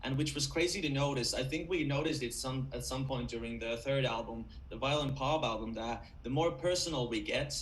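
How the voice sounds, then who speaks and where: A man's voice: mid-range voice, a little fast, few pauses, slight background noise, rough recording. Man chatting loosely. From Finland. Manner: loose and chatty, heavy use of "like". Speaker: band vocalist, interview guest. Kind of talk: casual interview answers.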